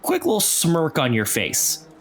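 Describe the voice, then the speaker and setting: A man narrating an improvised tabletop game as game master, describing scenes in a halting, cinematic way. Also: on the high side, huge pitch swings. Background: music.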